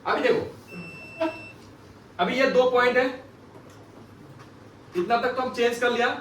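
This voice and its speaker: A high-pitched man gives a practical classroom lesson, recorded from a distance in an echoey room. He explains emphatically, repeats himself and keeps throwing questions to the learners. There is faint noise behind him. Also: measured pace, fairly bright tone. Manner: repetitive, emphatic teaching with frequent rhetorical questions. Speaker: phone repair instructor. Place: indoor classroom.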